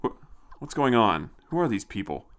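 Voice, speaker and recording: A narrator acting out a male space colonel from an audio adventure. He is brusque, blustering and impatient, his indignant voice raised a little and moving in lively jumps. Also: lowish voice, normal speed. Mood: impatient, alarmed.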